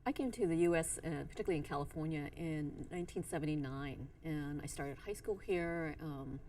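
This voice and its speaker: A woman from Vietnam, a university professor, answering interview questions in a measured way with frequent "um.". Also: low-pitched, warm tone, far from the mic.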